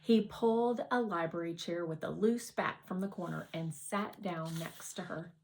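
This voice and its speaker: A woman narrating a children's novel aloud as a scripted, expressive read-aloud. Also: fairly low pitch, moderate pace, a little hiss or hum, poor audio. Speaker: audiobook reader.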